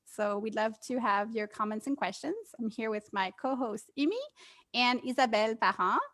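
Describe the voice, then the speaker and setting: A woman's voice: on the high side, normal speed, fairly bright tone. A woman co-hosting an interview, encouraging her guest and using some fillers. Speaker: interview co-host.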